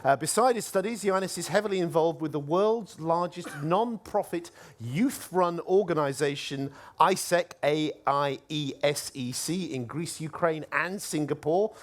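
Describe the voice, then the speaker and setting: A man's voice: a little high, unhurried, hardly any pauses. A man hosting a live science competition, introducing a contestant and calling on the audience to cheer. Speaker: event host.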